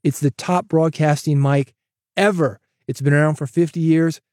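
A man's voice: a little high, moderate pace, dry recording. Upbeat man podcasting, dry studio sound. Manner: energetic, coaching, direct address. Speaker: podcast host. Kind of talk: solo podcast advice with sponsor read. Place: home studio, processed dry voice. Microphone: studio broadcast dynamic mic.

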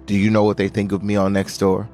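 A man's voice: lowish voice, monotone, quick pace, darkish tone, some chatter behind. A man from Nashville telling his own story in the first person for a radio documentary. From Nashville, United States. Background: music bed.

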